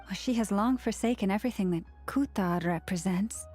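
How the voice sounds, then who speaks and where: A woman's voice: mid-range voice, brisk, darkish tone, close to an everyday voice. A woman voicing a composed young adventurer in a video game, speaking formally and with measure. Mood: resolved. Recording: background music under voice.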